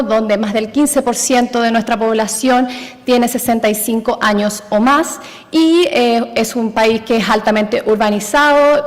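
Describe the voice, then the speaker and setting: A woman's voice: on the high side, long reverb tail. A Chilean woman giving a conference presentation, with many hesitant fillers. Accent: Chilean Spanish. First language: Spanish. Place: large conference hall.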